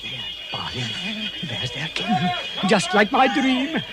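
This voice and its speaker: An actor playing a greedy, dreamy, childlike dwarf in a radio fantasy drama, excitable and plaintive. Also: male voice, sits high, slow, music in the background, heavily acted. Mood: eager. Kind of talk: full-cast radio fantasy acting.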